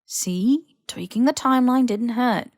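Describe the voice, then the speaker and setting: A woman acts out a young British man from a fantasy audiobook, blunt and excitable. He grumbles and pushes eagerly, in a close, dry recording. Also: fairly high pitch, a little slow, dry recording, pitched above the performer's own voice. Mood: smug, blunt. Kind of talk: audiobook character dialogue.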